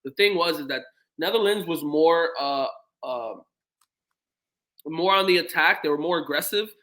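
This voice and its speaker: A man's voice: a little high, moderate pace, bright, forceful tone. A man co-hosting a casual sports podcast, laid-back and rambling, with the odd swear. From United States. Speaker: podcast co-host.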